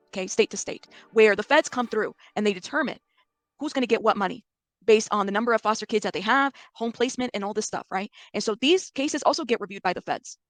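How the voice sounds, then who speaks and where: A woman's voice: a little high, dry recording. A woman with an American accent giving informal, emphatic commentary as a host, bluntly explaining things to her audience with rhetorical questions and checks like okay and right.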